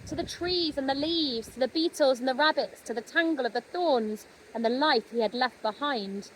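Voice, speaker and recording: Woman, children's storybook narration, high pitch, expressive dramatic pauses, faint background noise. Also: rough recording. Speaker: storybook reader.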